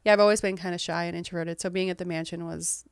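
A woman in her thirties, from England but with an American accent. She is a guest author in a casual interview, soft-spoken, laughing often and trailing off. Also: fairly low pitch, fairly even intonation, fast, gravelly, clean tone, no echo, clean studio sound.

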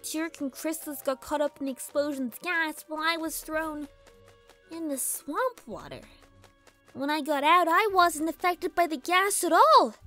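A woman's voice: very high-pitched, fairly bright tone. A woman voicing a bratty, scheming little filly villain in a whiny, theatrical manner for a dramatized story reading. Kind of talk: dramatized fan fiction reading. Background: background music.

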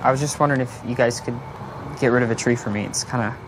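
A man playing a hesitant, halting customer asking for a tree to be removed, in noisy film clip audio. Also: medium pitch, fairly even intonation, close to an everyday voice. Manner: halting, unsure.

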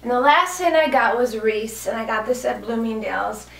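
Woman in her thirties, hoarse, gravelly, slightly high voice, gushing casual chatter, echoey room, camera mic a few feet off. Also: normal speed, a bit bright, far from the mic, a little hiss or hum. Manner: chatty, gushing, many fillers like "kinda" and "like". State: hoarse from a cold. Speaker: fashion vlogger. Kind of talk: casual clothing haul chat. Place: small indoor room with a clothes rack. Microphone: camera mic from a few feet away.

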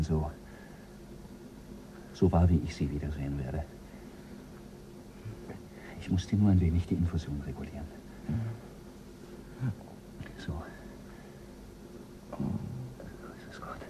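An actor playing a skeptical, quietly ruthless male astronaut in a radio play, speaking low and measured. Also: noisy background. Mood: cold.

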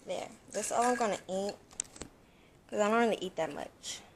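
A woman's voice: mid-range voice, normal speed. A young woman chatting casually to viewers as she cooks in her home kitchen, filmed by a camera across the room. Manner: chatty, talks to viewers while cooking. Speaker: cooking vlogger. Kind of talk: casual cooking vlog. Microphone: fixed camera across the kitchen. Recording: echoey kitchen, far from mic, noisy.

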